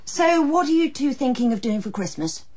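An actress playing the resentful adult daughter of a politician in a radio drama, speaking dryly. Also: female voice, sits high, expressive, normal speed, a little mellow, faint music behind. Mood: dry, domestic.

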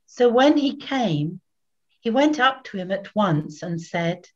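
Older woman narrator, unhurried solemn scripture reading, dull home webcam sound. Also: mid-range voice, lively intonation, a little mellow. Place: small plain room at home.

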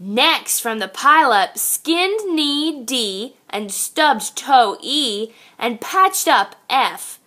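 High, bright, slow woman reading to children. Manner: expressive, sing-song, addressing young children. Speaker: teacher reading aloud to children. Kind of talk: children's picture-book read-aloud.

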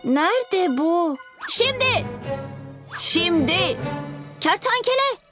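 Lively, high-voiced boy hero. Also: very slow, full character voice. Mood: urgent. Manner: childlike, urgent, commanding. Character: young boy superhero leader, brisk, brave, bossy. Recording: music behind.

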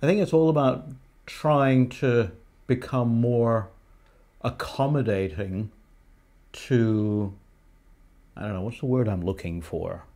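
A middle-aged man from Hong Kong, a guest in a casual indoor chat, talking haltingly, repeating words, with a dry teasing humor. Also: medium pitch, mellow. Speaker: guest, the host's husband. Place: indoor studio set with a painted brick wall.

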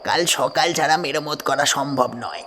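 Man playing a halting village mechanic, noisy night backdrop. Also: a little high, natural voice. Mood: hesitant, helpful. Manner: hesitant, halting with filler sounds. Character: helpful village garage mechanic, hesitant and slow to answer. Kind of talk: audio horror story dialogue. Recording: clear background noise.

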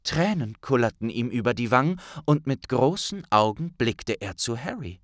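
A man reading a scripted story as a dramatic audiobook narrator, deliberate and suspenseful. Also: medium pitch, very animated, no room sound, clean studio sound.

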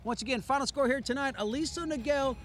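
A middle-aged man hosting a post-game football show outdoors at night, speaking into a handheld mic with brisk, upbeat commentary. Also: very high-pitched, a bit bright. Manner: brisk, upbeat play-by-play delivery. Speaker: sportscaster host.

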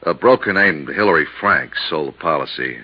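An actor playing a blunt, businesslike insurance colleague in a 1950s radio detective drama. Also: male voice, a bass voice, lively intonation, normal speed, husky, exaggerated voice. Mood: briefing, casual. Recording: muffled 1950s radio recording.

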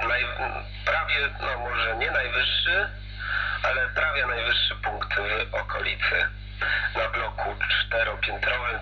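A man chatting casually as a CB radio operator, heard through a radio. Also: lowish voice. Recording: muffled, noisy CB radio reception with hum.

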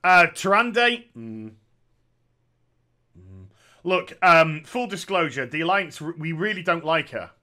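Male commentator in his thirties, sarcastic and opinionated, bright and slightly high-pitched voice, speaking on a headset at home. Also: expressive, slow pace, long pauses. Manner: sarcastic, opinionated, jokey asides. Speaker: gaming commentator. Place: small room at home.

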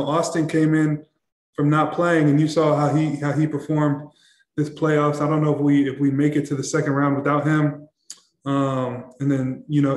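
A young man, a basketball player, answering questions at a postgame press conference, halting and repeating words with many fillers. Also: fairly high pitch, a little mellow, echoey room. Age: young adult. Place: press room in front of a backdrop.

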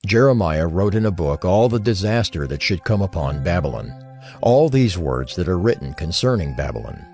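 A man narrating a dramatized scripture reading in a measured, formal manner. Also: low voice, very animated, a little mellow. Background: music under the voice.

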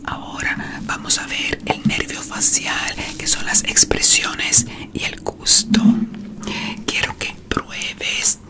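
Slow, whispering middle-aged woman in ASMR roleplay. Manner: slow, hushed, soothing, theatrical. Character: playful vampire doctor giving a nerve exam. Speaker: ASMR performer. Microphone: close studio microphone on desk.

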